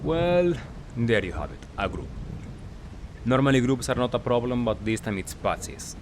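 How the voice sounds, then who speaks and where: A man giving casual gameplay commentary as a recap, talking in short clipped phrases with pauses. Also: mid-range voice, sing-song, slight background noise. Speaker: gaming video creator.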